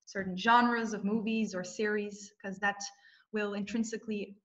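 A woman's voice: mid-range voice, steady tone, normal speed, a bit bright. A woman moderating a webinar Q&A on a video call, speaking with frequent uhs and ums. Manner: many hesitations, uh and um. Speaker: webinar host. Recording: dull video call audio.

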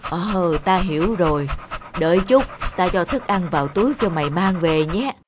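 A woman playing a kindly, compassionate elderly grandmother in an animated tale, gentle and caring. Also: on the low side, normal speed, background noise, lightly acted. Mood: caring, curious. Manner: gentle, caring, musing aloud. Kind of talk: animated moral tale dubbing.

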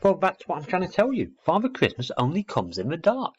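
A man's voice: high voice, huge pitch swings, fairly quick, husky, dull audio, voice pushed up, heavily acted. A man voicing a forthright, proud little schoolgirl who knows it all, in a children's storybook. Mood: explaining.

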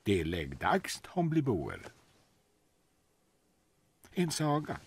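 A man in his sixties narrating a children's bedtime story in a soft, lulling, sing-song way, over gentle music. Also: medium pitch, huge pitch swings, gravelly, slight background noise. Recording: studio narration with music behind.

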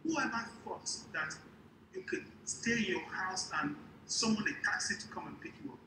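A middle-aged Nigerian man with a high-pitched voice addresses a business panel like a mentor. He explains his points with emphasis and deliberate repetition. He is on a video call from a small, echoey room, with clear noise on the recording. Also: big pitch swings. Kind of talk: panel talk to a business convention. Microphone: wired earphones on a video call.

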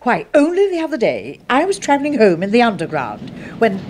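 A woman's voice: a little high, wildly swooping pitch, fairly quick, very noisy. A woman playing a severe, prudish middle-aged spinster secretary in a radio horror drama, clipped and censorious in manner. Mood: indignant.

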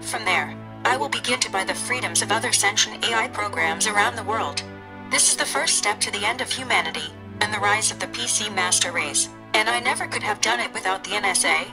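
Woman voicing a creaky, deadpan scheming AI assistant. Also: medium pitch, fairly quick, music in the background, lightly acted, low-quality recording. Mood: coldly triumphant. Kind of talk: comedy radio drama acting.

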